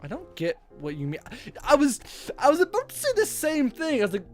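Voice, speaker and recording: A woman's voice: sits high, wildly swooping pitch, brisk, faint music behind. A woman streaming a visual novel, reading it aloud and chattily commenting on the story. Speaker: game streamer.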